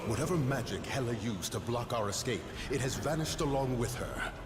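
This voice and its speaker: An actor playing a proud, heroic thunder god prince who speaks in archaic, commanding lines in a video game cutscene. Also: male voice, mid-range voice, expressive, a little fast, very noisy, lightly acted. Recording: loud music score behind.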